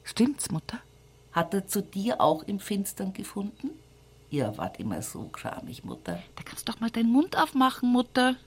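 A woman's voice: medium pitch, very animated, moderate pace, breathy edge. An actress playing a wry country woman with a Bavarian accent, talking intimately to her mother in a radio play monologue. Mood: pleading, insistent. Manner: folksy, addresses her mother directly. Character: rural Upper Palatinate woman reminiscing at mother's bedside, wry.

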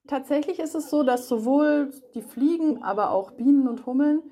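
A woman's voice: sits high, moderate pace, dark tone. A woman animal researcher explaining things simply to children as an expert guest. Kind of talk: explanatory interview answers for children.

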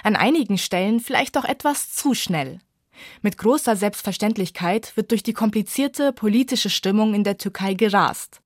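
A woman's voice: mid-range voice, moderate pace, no room sound, studio quality. A woman reporter reading a scripted radio book review feature.

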